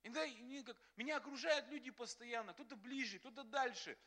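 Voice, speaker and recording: A man preaching a sermon as pastor, animated and acting out quotes, often laughing. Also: very high-pitched, brisk. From Russia.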